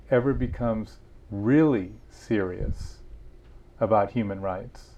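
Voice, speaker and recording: A man's voice: mid-range voice, warm tone, muffled recording. A man being interviewed about his book, telling stories earnestly with frequent restarts. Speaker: author and guest. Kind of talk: interview conversation.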